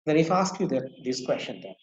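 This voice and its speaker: Male lecturer, Bangladeshi English accent, Bangla native, mid-pitched, restates points with fillers, roomy dull recording. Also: sing-song, fast talker. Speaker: university lecturer. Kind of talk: online lecture.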